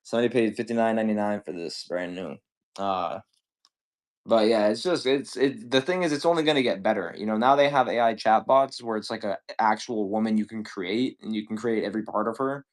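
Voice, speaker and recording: A man's voice: fairly low pitch, a little fast. A man in his twenties chatting casually and profanely while selling on a livestream, rambling with lots of slang. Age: 20s. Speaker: livestream seller.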